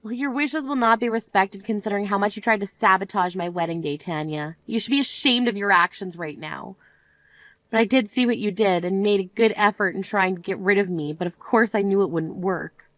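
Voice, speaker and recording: A woman voicing a composed young bride in an animated story, speaking in a firm, cold, measured manner. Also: medium pitch, no echo, lightly acted.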